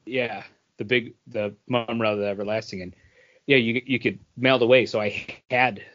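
A man co-hosting a podcast, telling casual nostalgic stories in an animated, slangy way and acting out quotes. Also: medium pitch, normal speed, dull audio. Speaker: podcast co-host. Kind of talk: casual chat, nostalgic anecdotes.

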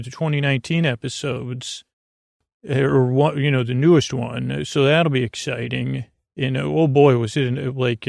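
Creaky, meandering man hosting a bedtime podcast. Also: dry recording, clean studio sound. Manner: meandering, halting, trailing off mid-thought; soothing, low-key. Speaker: podcast host. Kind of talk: rambling, casual bedtime monologue.

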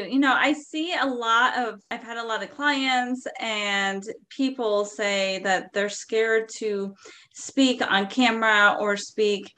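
A woman's voice: a little high, bright, forceful tone. A woman hosting a podcast, introducing and interviewing her guest with upbeat enthusiasm. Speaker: podcast host.

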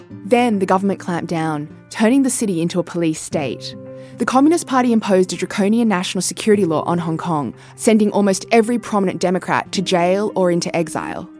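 Lively, low-voiced woman narrating documentary. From Australia. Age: 30s. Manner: measured, reflective. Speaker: correspondent and podcast host.

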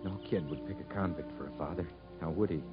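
An actor playing a bitter, weary convict in a 1950s radio drama, speaking in plain, clipped, folksy words. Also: male voice, deep voice, fast talker, lightly acted. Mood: bitter, despairing. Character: bitter, despairing male convict, married, wary and proud. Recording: muffled 1950s radio broadcast with music.

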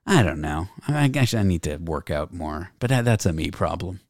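A man's voice: low-pitched, very animated, normal speed, no room sound, clean studio sound. A man with a Canadian accent hosting a podcast, chatting loosely and joking at his own expense. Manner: joking, rambling, self-deprecating. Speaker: podcast host. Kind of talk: casual chat.

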